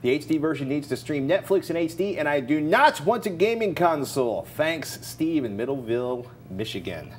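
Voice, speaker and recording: A man's voice: a little high, sing-song, moderate pace, hardly any pauses, slight background noise. An American man in his forties co-hosting a tech show, talking casually in a studio. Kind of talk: casual tech commentary and sponsor reads. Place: indoor TV studio.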